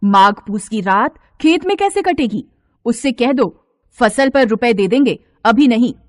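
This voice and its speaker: A woman voicing a poor farmer's sharp-tongued wife in an audio storybook, scolding with defiant, sarcastic emphasis. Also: on the high side, expressive, measured pace, no room sound, studio quality. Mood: firm, worried. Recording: faint noise with crickets.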